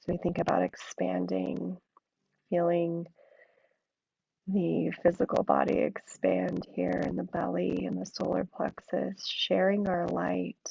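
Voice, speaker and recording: A woman with a low, mellow, deeply gravelly voice guides a yin yoga class at an unhurried crawl. She sounds hoarse and a little froggy, her cues soft and drawn out. The recording is dull and lo-fi. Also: monotone, no echo. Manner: slow, soothing, drawn-out cues. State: hoarse from an allergy attack.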